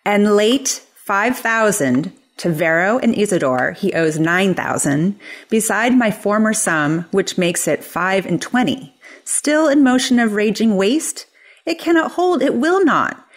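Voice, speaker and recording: A woman's voice: mid-range voice, expressive, measured pace. Woman playing an imperious, indignant Shakespearean senator. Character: impatient, indignant senator demanding repayment. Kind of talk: unrehearsed reading of a Shakespeare play.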